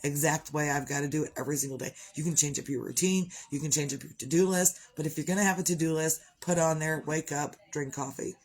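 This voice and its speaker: A woman's voice: deep voice, fast talker, few pauses, a little mellow, slightly rough. Congested woman rambling on a live video. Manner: chatty, upbeat, wanders off topic. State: congested, clearing her throat. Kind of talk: rambling motivational chat.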